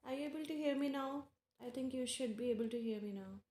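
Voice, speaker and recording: A woman with an Indian English accent, hosting a live tarot stream and chatting casually with viewers by name, unhurried and full of fillers. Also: high-pitched, a little mellow. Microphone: earphones with mic. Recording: echoey room.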